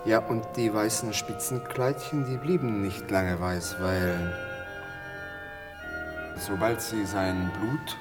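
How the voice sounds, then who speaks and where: An actor in a radio play playing a wry, morbid man who tells grisly tales in a halting, rambling way. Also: lowish voice, long reverb tail, distant mic, loud music behind the voice. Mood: sly, hushed. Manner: halting, rambling storytelling with pauses and dry asides.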